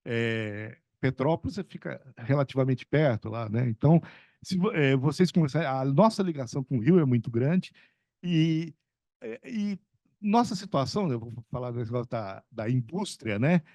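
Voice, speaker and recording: Middle-aged man from são paulo, economics professor on a panel, rambles with lots of fillers, warm mellow tone, dry sound. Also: medium pitch, lively intonation, moderate pace, dry recording. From São Paulo state, Brazil. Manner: rambling, many fillers and restarts, chuckles. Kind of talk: conversational talk at a panel.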